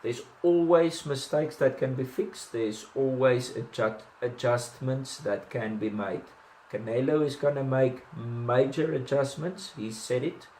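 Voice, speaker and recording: A man's voice: mid-range voice, measured pace, darkish tone, roomy sound, slight background noise, rough recording. A man with a South African accent giving casual boxing commentary, chatty, with many ums and restarts and self-deprecating asides.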